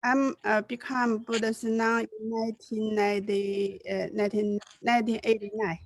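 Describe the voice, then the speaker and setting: An older Buddhist nun from China with a Chinese accent, introducing herself haltingly in broken English on a video call. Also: female voice, a little high, flat delivery, poor audio. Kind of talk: class self-introduction.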